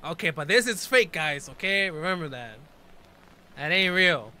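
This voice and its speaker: A man's voice: on the high side, animated, normal speed, bright, forceful tone. A man in his twenties reacting casually to a video, reading text aloud and commenting in informal, slangy speech.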